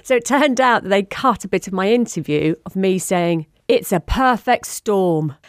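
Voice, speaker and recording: A woman's voice: mid-range voice, lively intonation, normal speed, clean tone, dry recording, studio quality. A middle-aged woman with a Home Counties English accent, telling stories articulately as an interview guest. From England. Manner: articulate, anecdotal. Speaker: interview guest, business leader. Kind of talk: casual interview chat.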